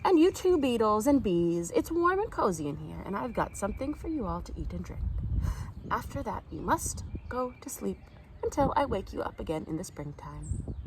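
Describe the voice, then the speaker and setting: Lady doing motherly mother earth from a kids storybook, caring n exclaiming, lively lilt, faint noise behind. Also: medium pitch, moderate pace, recorded from a distance. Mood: motherly, welcoming. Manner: exclaiming, nurturing.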